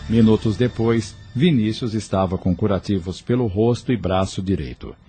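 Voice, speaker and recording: A low-voiced Brazilian man narrates an audio novel in a dark, mellow tone. The reading is scripted storytelling, with faint music behind it. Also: moderate pace, breathy edge.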